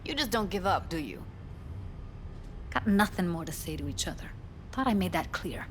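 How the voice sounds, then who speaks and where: Game role: actress as blunt, sarcastic young woman, lower register, defensive, noisy ambience. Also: expressive, moderate pace, close to an everyday voice. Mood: bitter. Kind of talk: video game drama acting.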